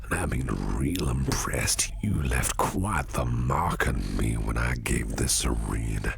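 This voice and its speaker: A man playing a shady businessman boss in an ASMR roleplay, flirtatious and protective, murmuring in a whispery gravelly voice with slow, teasing deliberation. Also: a bass voice, faint music behind, full character voice. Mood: smug, welcoming. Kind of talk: ASMR roleplay, close personal attention.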